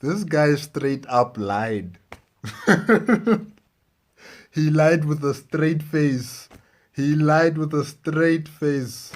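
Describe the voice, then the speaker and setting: Slow-paced man doing casual reactions. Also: a little high, animated. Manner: incredulous, repeats himself, drawn-out phrases. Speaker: reaction video host. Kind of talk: casual off-the-cuff reaction commentary.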